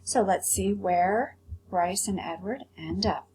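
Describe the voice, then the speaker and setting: A woman's voice: mid-range voice, slow pace, warm tone, rough recording. A woman teacher reading a storybook aloud and commenting on it for pupils. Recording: clear background hum and noise.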